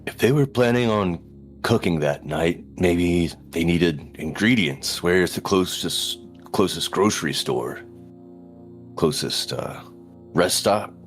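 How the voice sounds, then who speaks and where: A man's voice: low-pitched, lively intonation, takes it slowly, faint music behind. A man playing a tabletop roleplaying game, chatting casually with the others at the table.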